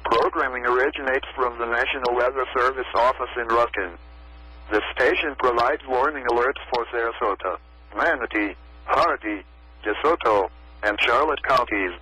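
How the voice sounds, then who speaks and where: A man's voice: medium pitch, normal speed, bright, forceful tone, slightly rough, dry recording, rough recording. A synthetic American male computer voice reading a scripted marine forecast in a stilted way on weather radio. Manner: stilted synthetic text-to-speech delivery. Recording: weather radio broadcast audio.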